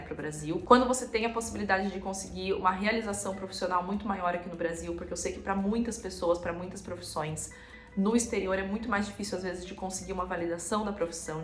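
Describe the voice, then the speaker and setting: A woman's voice: fairly low pitch, echoey room. A Brazilian woman with a Brazilian Portuguese accent, chatting casually to camera as a vlogger and dropping frequent filler tags.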